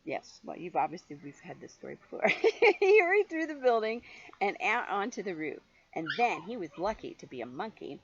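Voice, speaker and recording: A woman's voice: medium pitch, very animated, moderate pace, highs cut off, slight background noise. An older woman, a grandmother reading a children's story expressively, with chatty questions to her young listener.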